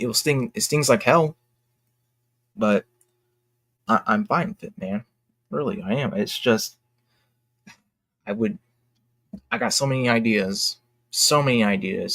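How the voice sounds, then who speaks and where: A young man talking casually and candidly to his fans in a halting, reflective, earnest way, as an independent creator. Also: mid-range voice, gravelly, a bit bright, no echo. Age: young adult. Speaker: indie comic creator and vlogger.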